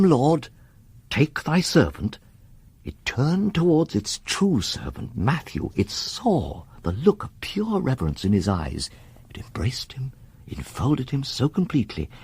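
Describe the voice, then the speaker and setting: An actor playing a grave, resolute man who summons dark powers in a radio horror drama, husky and intense, breaking into whispers. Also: medium pitch, big pitch swings, unhurried, full character voice. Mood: awed, hushed.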